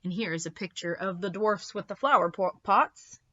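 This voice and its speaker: Bright-voiced woman reading a storybook, lo-fi sound. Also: medium pitch, normal speed. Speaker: librarian reading stories to children. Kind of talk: expressive storybook read-aloud with picture asides.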